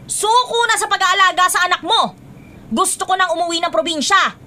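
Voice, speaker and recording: Shrill, stern Filipino spinster aunt. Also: female voice, a little fast, bright, forceful tone. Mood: weary, complaining. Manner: scolding, emphatic, melodramatic. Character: stern, exasperated middle-aged spinster aunt. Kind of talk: Filipino radio drama acting.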